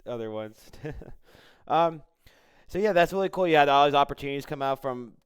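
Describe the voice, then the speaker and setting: A man's voice: a little high, animated, fairly quick, smooth. An American man hosting a casual interview, chatting loosely and chiming in with encouraging reactions. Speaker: podcast host interviewer. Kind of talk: casual chat.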